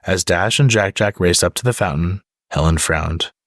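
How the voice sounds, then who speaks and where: Low-voiced man reading a children's story. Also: normal speed, fairly bright tone, no room sound, clean studio sound. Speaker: storybook reader. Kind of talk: children's story read-aloud.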